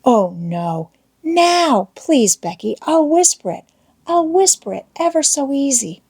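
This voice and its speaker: A woman's voice: sits high, sing-song, takes it slowly, a little mellow, voice pushed up, heavily acted. A woman voicing an eager, boastful young schoolboy in love in an audiobook, with folksy old-fashioned rural American wording and a wheedling manner. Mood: pleading. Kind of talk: audiobook character voice.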